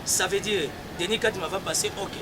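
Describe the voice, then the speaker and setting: A man's voice: high voice, brisk. A man with a Congolese French accent, a church spokesperson arguing a political point in an emphatic debate, repeating key phrases and mixing in Lingala. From Congo-Kinshasa. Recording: loud street traffic noise.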